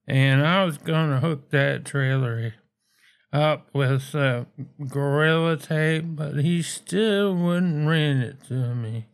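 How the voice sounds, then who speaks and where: Very slow-talking rambling man. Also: medium pitch, creaky. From Montana. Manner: halting and rambling, full of fillers and restarts; folksy, chuckles at his own jokes. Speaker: caller. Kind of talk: rambling comic phone message.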